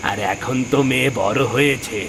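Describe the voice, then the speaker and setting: A man playing a humble, kindly old village father, a poor labourer in a cartoon tale, with a gentle manner. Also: medium pitch, moderate pace, creaky, background noise, natural voice. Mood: kindly, proud. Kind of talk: Bengali cartoon moral-tale acting.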